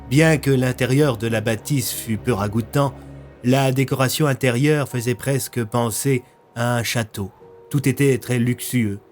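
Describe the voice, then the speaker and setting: A man narrating a scripted audio story in the manner of a storyteller. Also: mid-range voice. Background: faint music.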